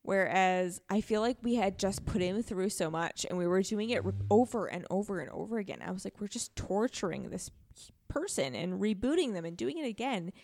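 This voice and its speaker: A woman's voice: medium pitch, expressive, fairly quick, darkish tone, no room sound. A woman guest on a casual podcast, talking reflectively and informally about a game and voicing her own thoughts.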